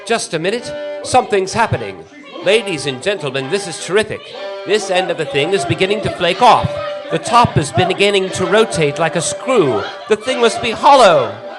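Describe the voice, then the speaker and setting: Guy acting as frantic old-time radio reporter on the scene, high pitched, breathless, urgent eyewitness stuff. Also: very animated, normal speed, music in the background. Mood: alarmed awe. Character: frantic male radio field reporter, awestruck then terrified. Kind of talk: 1930s radio drama reporting.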